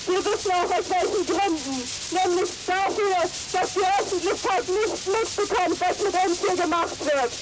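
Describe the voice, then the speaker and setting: Woman protest speaker, very high voice, urgent appeals, loud outdoor noise, old archive tape. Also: normal speed, hardly any pauses. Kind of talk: addressing a crowd over a loudspeaker. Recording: noisy 1980 outdoor archive recording.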